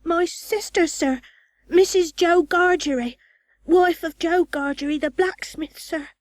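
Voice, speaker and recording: A frightened little orphan boy from a classic storybook. The voice is extremely high and slow, with a polite English accent. It is timid and deferential, recorded dry and close. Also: dry recording. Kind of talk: audiobook character acting.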